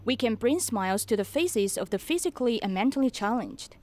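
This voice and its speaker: A woman reading an interviewee's words as a calm voice-over dub. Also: fairly high pitch, brisk, background noise. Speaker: voice-over translator.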